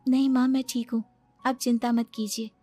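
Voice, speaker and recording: A woman voicing a dutiful, soft-hearted young daughter and bride in a moral story. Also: fairly high pitch, flat delivery, normal speed, pitched above the performer's own voice, natural voice. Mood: meek. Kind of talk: moral story character dialogue. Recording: music behind the voice.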